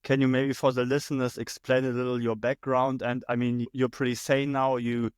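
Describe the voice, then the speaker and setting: A man's voice: medium pitch, little pitch movement, normal speed, smooth, dry recording, clean studio sound. A man hosting a podcast who asks questions in a thoughtful, hesitant way, searching for his words. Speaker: podcast host. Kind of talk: interview conversation.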